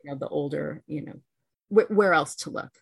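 An American woman with a fairly low voice, speaking earnestly and carefully as a guest author. She often restarts her sentences while searching for words. The recording is close and dry, with little room sound. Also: moderate pace, creaky, husky, no room sound. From United States. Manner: thoughtful, earnest, many restarts. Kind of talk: podcast interview.

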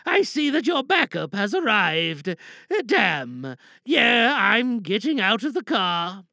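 Comic audio drama: performer as reckless, self-righteous driver, indignant and wheedling, loud high swings, sharp tone. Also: very animated, slow pace, dry recording, clean studio sound, exaggerated voice. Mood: agitated, defensive. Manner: indignant, mock-pious, wheedling excuses. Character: frantic, self-righteous reckless driver lying to a police officer.

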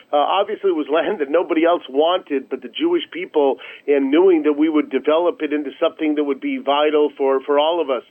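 A man being interviewed as an organization's leader, speaking emphatically and persuasively with rhetorical questions and repetition. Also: a little high. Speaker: interview guest, nonprofit chief executive. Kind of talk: radio interview, persuasive storytelling. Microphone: telephone. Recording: tinny, narrow-band telephone line.

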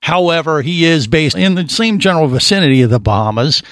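A man's voice: on the high side, animated, a little fast, fairly bright tone, no echo, clean studio sound. A man hosting a radio talk show, interviewing a guest in a chatty, rambling way.

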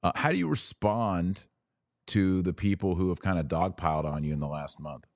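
A man's voice: deep voice, moderate pace, a little mellow, no echo, studio quality. A man speaking as a podcast host in a conversational interview.

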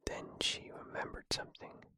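A storyteller reading a tale entirely in a whisper, measured and deliberate, in an ASMR style. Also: sharp tone, no room sound.

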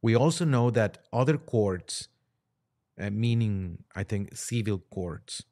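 A man reading quotes aloud and commenting on them as a podcast guest, with halting, deliberate phrasing. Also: on the low side, animated, mellow.

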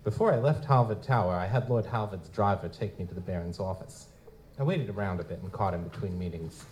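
Man acting a mellow, streetwise private eye, noisy recording. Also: low voice, animated, fairly quick. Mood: brooding narration. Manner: casual, slangy noir sleuth. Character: wry, streetwise male goblin-like private investigator. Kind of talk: live audio drama dialogue and first-person narration. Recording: noticeable background noise.

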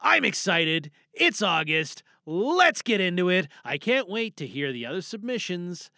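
A podcast host speaking in a theatrical, animated way, reading out announcements and chatting to listeners. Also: mid-range voice, unhurried, no echo, studio quality.

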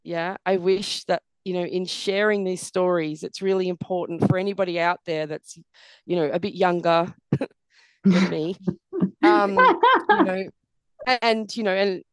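Middle-aged woman guest, croaky and tired, earnest and reflective, lowish voice. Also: animated, moderate pace, dry recording. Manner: earnest, many "you know" fillers. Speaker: podcast guest. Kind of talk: reflective interview conversation.

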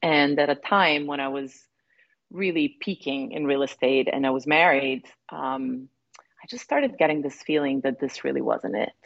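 A woman from Serbia in her forties speaks in a low register and reflects on her path, pausing often with fillers and restarting her sentences. The recording is dry and a little muffled. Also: gravelly, no echo. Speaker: women's relationship coach. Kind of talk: conversational interview.

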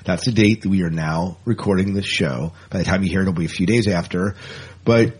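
A man with a low, husky voice hosts a casual sci-fi podcast. He talks conversationally and with enthusiasm about genre news. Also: fairly quick, a little mellow. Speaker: podcast host.

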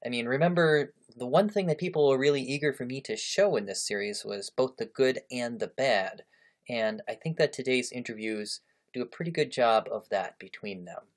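Man, podcast host, mid pitch, steady clear delivery, interviewer. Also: normal speed.

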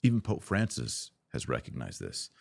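Low-voiced man hosting a commentary podcast. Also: wildly swooping pitch, moderate pace, vocal fry, husky, dry recording, studio quality. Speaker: podcast host. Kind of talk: religious news commentary, reading statements aloud and commenting.

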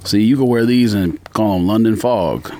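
A man's voice: on the low side, moderate pace, dark tone, a little hiss or hum. A man co-hosting a podcast in casual, joking banter. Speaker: podcast co-host.

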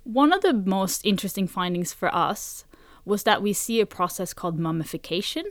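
A woman from Norway, a researcher giving measured, factual explanations as an interview guest. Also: medium pitch, lively intonation, no echo.

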